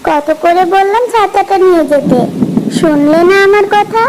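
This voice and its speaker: Very high, squeaky cartoon village wife, insistent and scolding. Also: female voice, moderate pace, background noise. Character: nagging stubborn village wife. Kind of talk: cartoon dubbing.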